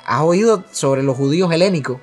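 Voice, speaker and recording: A man's voice: a little high, expressive, brisk, a little mellow, faint music behind. Earnest man explaining church doctrine. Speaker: podcast co-host. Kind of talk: religious discussion podcast.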